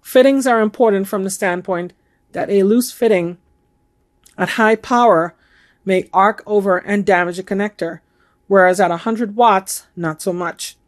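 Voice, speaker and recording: A middle-aged woman giving a measured, instructional talk to camera in a room at home. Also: mid-range voice. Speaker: amateur radio video host. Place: home room with bookshelves, indoors.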